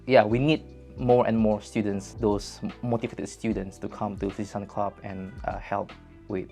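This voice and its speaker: A man's voice: fairly low pitch, normal speed, gravelly. Hesitant young man. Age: young adult. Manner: hesitant, many fillers, relaxed. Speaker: intern interviewee. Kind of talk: casual interview answers. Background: faint music.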